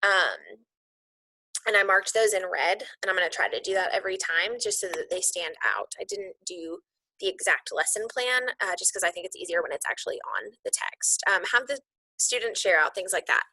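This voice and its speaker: A woman's voice: mid-range voice, moderate pace, pushed and bright, slightly rough, no echo, poor audio. A woman giving an informal, conversational instructional walkthrough, explaining things as a teacher would to colleagues. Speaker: teacher coach explaining lesson plans to colleagues.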